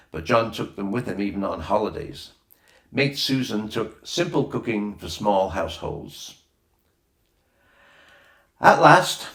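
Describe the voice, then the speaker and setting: A man reading a children's adventure novel aloud as scripted narration, with a roomy echo on the recording. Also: on the low side. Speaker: audiobook reader.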